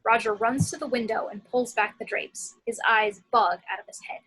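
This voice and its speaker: A woman's voice: fairly high pitch, fairly even intonation, moderate pace, background noise. A woman reading scripted action descriptions as the narrator of a screenplay table read.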